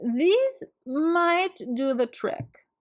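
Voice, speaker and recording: A kindly, plump old woman from a children's storybook, acted out by a woman reader. She speaks very slowly and deliberately in a high voice, sweet and helpful. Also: big pitch swings, exaggerated voice. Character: kind, plump, sweet old woman, gently cheerful helper.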